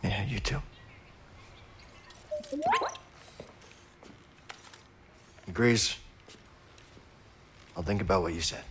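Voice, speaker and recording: Deep-voiced, brooding young warrior. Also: male voice, unhurried, fairly bright tone, slight background noise. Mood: subdued gratitude. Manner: earnest, brooding. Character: weary, determined young male warrior in exile. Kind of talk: video game cutscene acting.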